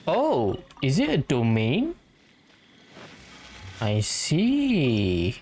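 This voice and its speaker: A man's voice: mid-range voice, wildly swooping pitch, drawn-out words, a little mellow, slight background noise. A man giving casual gameplay commentary, musing aloud in a chatty way to his viewers. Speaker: gaming streamer.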